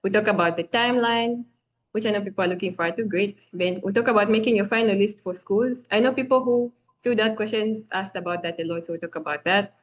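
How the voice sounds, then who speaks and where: A woman's voice: mid-range voice, fast, highs cut off. Brief: young woman from Zambia, friendly workshop host, chatty with fillers, video-call audio. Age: young adult. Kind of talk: online presentation.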